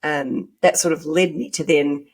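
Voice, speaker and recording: A woman's voice: deep voice, fairly even intonation, a little slow. A woman from New Zealand with a New Zealand accent, talking conversationally as an interview guest in an informal, hedging way. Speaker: podcast guest.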